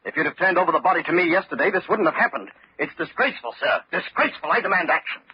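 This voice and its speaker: A haughty, theatrical gentleman acted by a man blusters in an old radio mystery. His fairly high voice swings widely in pitch, on a dull recording with faint noise. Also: animated, racing, close to an everyday voice. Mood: indignant. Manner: pompous, indignant, theatrical. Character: haughty, blustering gentleman, a guilty husband under suspicion. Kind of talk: old-time radio drama acting.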